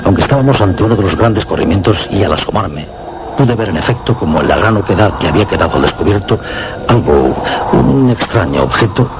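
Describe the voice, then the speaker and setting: An ominous meteorologist. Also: male voice, sits low, expressive, a little fast, heavy background noise. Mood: grave. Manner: grave, ominous storytelling. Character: male meteorologist narrating a dark tale. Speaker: narrator. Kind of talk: suspense radio drama narration. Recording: radio drama with loud music bed.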